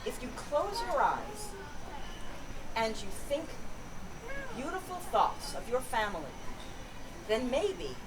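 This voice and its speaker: A woman voicing a pious, knowing male bishop in a live fairy tale, formal, measured and instructive. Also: on the high side, wildly swooping pitch, heavily acted. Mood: solemn, instructive. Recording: noisy outdoor fair, mic far off.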